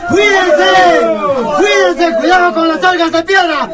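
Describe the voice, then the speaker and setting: A man plays a fierce war leader in a radio drama, his voice pushed very high. He bellows urgent, drawn-out commands over the din of a crowd. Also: steady tone, background noise. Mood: defiant, rallying. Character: fierce male battle leader rallying fighters in colonial Andes. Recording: loud battle crowd din around the voice.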